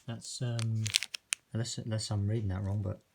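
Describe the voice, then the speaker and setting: Low, even-voiced man, noisy recording. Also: moderate pace, vocal fry. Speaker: product reviewer. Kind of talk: casual unboxing and review commentary. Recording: noisy recording with handling clicks.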